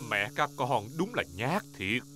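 A man's voice: medium pitch, sing-song, a little slow, crowd noise. A man voicing a blustery, easygoing father pig in a children's cartoon dub. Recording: music behind the voice.

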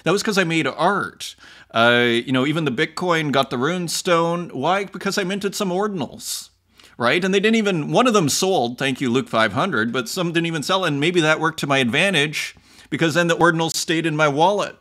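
A man's voice: fairly high pitch, moderate pace, a bit bright. A man in his forties, an artist talking casually to camera while reading viewer comments, rambling with fillers and restarts, in a room with bookshelves. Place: home room with bookshelves, indoors. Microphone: close webcam-style framing.

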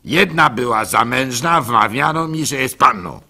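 A male actor playing a gullible, simple-hearted village fool telling his story in a theatrical, halting radio monologue, with a husky, gravelly voice. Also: mid-range voice, animated, bright, forceful tone, full character voice. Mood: exasperated. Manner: theatrical, halting, with stammered repeats, sighs and chuckles. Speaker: actor narrating a radio play. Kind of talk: dramatic first-person monologue in a radio play.

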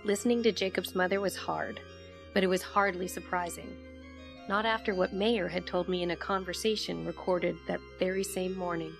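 An actress playing an inquisitive young investigator who interviews and narrates in an audio drama. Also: female voice, on the low side, steady tone, moderate pace, creaky, music in the background. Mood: grave.

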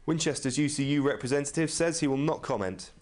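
A male reporter narrates a scripted news story. His voice is medium in pitch. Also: fast talker, a little mellow.

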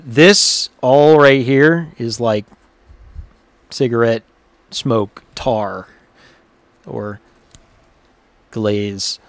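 A man giving casual, hands-on repair commentary in an informal, unhurried way, thinking aloud as he works. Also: medium pitch, no echo. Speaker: repairer presenting his own workshop video.

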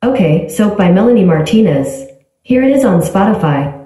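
A synthetic woman's voice from a smart speaker, giving even, polite, clipped replies. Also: medium pitch, normal speed. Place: small tiled bathroom. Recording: echoey bathroom, heard from a distance.

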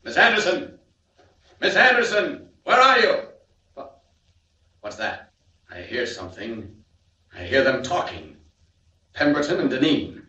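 A man's voice: fairly high pitch, big pitch swings, unhurried, long pauses, sharp tone, full character voice. An actor playing a bold, urgent male superhero in a 1940s radio serial. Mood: alarmed. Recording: echoey, distant, noisy 1940s radio recording.

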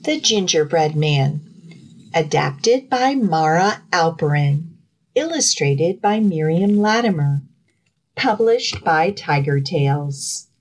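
Slow, animated woman reading a storybook. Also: low voice, poor audio. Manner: deliberate, dramatic storytelling. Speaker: children's librarian, storytime reader. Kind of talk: expressive picture-book reading.

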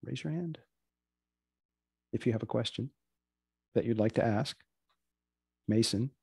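A man's voice: medium pitch, a little slow, vocal fry, warm tone, breathy edge, no room sound, studio quality. A man hosting and moderating a session of readings on a video call. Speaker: host of dramatic readings session. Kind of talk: moderating a panel. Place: indoor room on a group video call.

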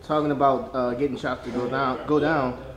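A man asking postgame sports interview questions in a halting, hesitant manner. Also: medium pitch, little pitch movement. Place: echoey basketball arena. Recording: echoey, loud crowd hubbub behind.

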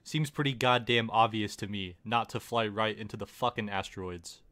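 A man's voice: on the low side, steady tone, moderate pace, slight background noise. A man doing a sarcastic, foul-mouthed comedic dub of a video game. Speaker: parody dubber voicing the game's protagonist. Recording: loud music behind the voice. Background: game music.

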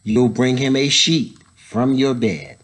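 A man in his thirties reading a children's picture book aloud, pausing to add casual explanatory asides for young listeners. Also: mid-range voice, expressive, a bit bright. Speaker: story time reader, educator. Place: indoors, school library.

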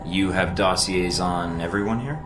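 An actor playing a cocky, impatient young secret agent in a video game, speaking in a terse, dry, smug way. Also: male voice, low voice, moderate pace, lightly acted. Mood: curious, smug. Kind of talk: video game cutscene acting. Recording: roomy echo with music behind.

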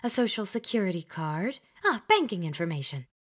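A woman voicing a fussy, chattering older witch who plays matchmaker, bossy and brisk in an audiobook. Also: on the low side, big pitch swings. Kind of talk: audiobook character voice.

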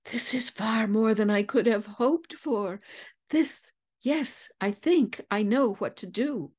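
A woman's voice: on the high side, slow pace, darkish tone, slightly rough, pitched above the performer's own voice. A woman voicing a confident, clever young woman detective in an audiobook story, articulate, exclamatory and commanding. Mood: eager.

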